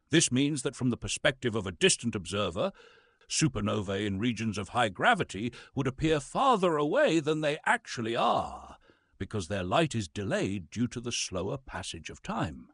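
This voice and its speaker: A man playing a pompous, disgruntled actor who narrates science scripts theatrically, with a husky voice and dramatic emphasis. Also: medium pitch, fairly bright tone, no echo, studio quality. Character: pompous, disgruntled male Shakespearean actor reading physics lectures.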